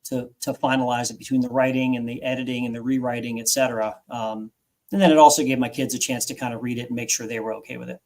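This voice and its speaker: A man's voice: mid-range voice, fairly even intonation, fast talker, a little mellow, poor audio. A man in his forties, a guest author recounting his own story in an interview, earnest and reflective, often restarting phrases.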